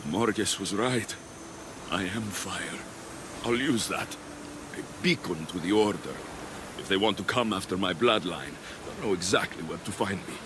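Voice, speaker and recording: A man's voice: low-pitched, measured pace, noisy background. A man voicing a battle-hardened ancient warrior and devoted father, in a dramatic video game cutscene. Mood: grim, defiant. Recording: dramatic music under the voice. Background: cinematic game music.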